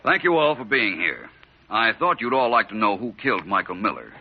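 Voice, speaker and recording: A low, slightly husky gentleman detective in a 1940s radio mystery. He is shrewd and unruffled, with lively inflection and measured courtesy, on a muffled vintage recording. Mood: coolly accusing. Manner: measured, polite, coolly accusing.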